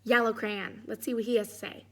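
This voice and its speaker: A woman's voice: fairly high pitch, level tone, fairly quick. A woman reading a picture book aloud, chatting encouragingly and putting questions to young listeners. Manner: encouraging, often checks in with "right?".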